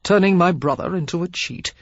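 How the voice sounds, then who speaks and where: A woman reading the lines of a stern, dry young aristocrat who speaks gruffly. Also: on the low side, a little fast, no room sound, highs cut off, close to an everyday voice.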